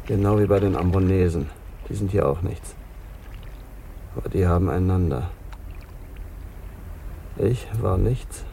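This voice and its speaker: An actor in a German radio crime drama playing a wounded, lonely Papuan man confessing with bitter resignation in a gravelly, subdued voice. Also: deep voice, mellow, a little hiss or hum, exaggerated voice.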